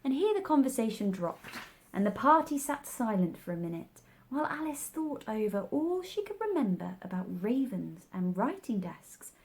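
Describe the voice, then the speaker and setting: A woman narrates a children's classic as a storybook reader. She takes her time over each phrase and moves her pitch around in a lively, expressive way.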